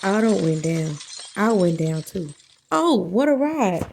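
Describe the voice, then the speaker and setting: A woman reading a children's book aloud as an expressive storyteller, with deliberate, dramatic emphasis. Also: medium pitch, vocal fry, slight background noise.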